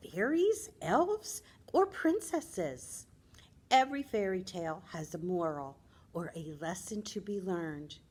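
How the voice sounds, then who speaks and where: An American woman presenting as a children's librarian, speaking to young children in an expressive, teacherly way with simple phrasing. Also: medium pitch. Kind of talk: storytelling talk for young children.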